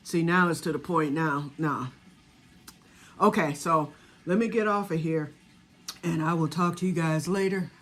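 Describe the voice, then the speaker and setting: A woman's voice: low voice, measured pace, pauses often. Chatty woman in her 60s, rambling casual vlog talk, calls her viewers y'all. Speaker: home vanilla maker. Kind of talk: casual chat to camera.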